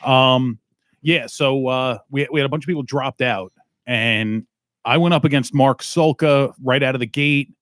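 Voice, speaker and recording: Male comedy podcast host, profane and teasing, mid pitch, dry close mic. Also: measured pace, fairly bright tone, dry recording. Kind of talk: crude casual banter.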